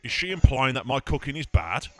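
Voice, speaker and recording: A proud, touchy villain of a warden acted by a man for a comedy audio play. He speaks in a middling pitch with pompous, stubborn delivery. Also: very animated, brisk, lightly acted. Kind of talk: amateur comedy audio drama acting.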